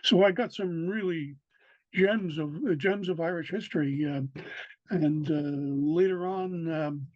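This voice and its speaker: Older New man, halting speech. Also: on the high side, dry recording. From New York City. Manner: halting, many fillers and restarts. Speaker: interviewee, local historian. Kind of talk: casual recorded interview. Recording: dry, dull lo-fi sound.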